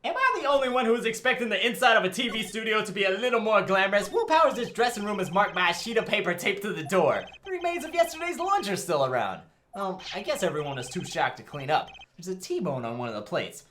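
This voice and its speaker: A woman's voice: mid-range voice, very animated, fast, hardly any pauses, a bit bright, slight background noise. A woman voicing a wry, sarcastic young male defense lawyer in a video game, with a jokey manner. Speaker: let's play narrator and voice actor. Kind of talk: animated video game character acting. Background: music.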